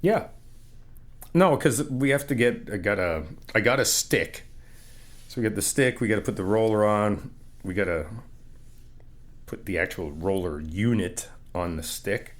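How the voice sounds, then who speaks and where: A man's voice: lowish voice, animated, unhurried, pauses often. Easygoing, teasing man in ASMR roleplay. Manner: easygoing, teasing, reassuring, laughs often. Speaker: ASMR roleplay creator playing a supportive friend.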